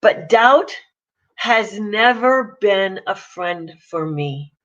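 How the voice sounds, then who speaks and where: A woman's voice: medium pitch, animated, drawn-out words, a bit bright, muffled recording. A woman coach giving a casual, plain-spoken morning talk to camera. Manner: chatty, pragmatic, plain-spoken.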